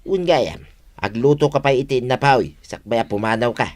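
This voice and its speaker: An easygoing working man of the fields , acted by a man in a comedy radio drama. He talks in a plain, agreeable, conversational way at a middling pitch. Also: normal speed, natural voice.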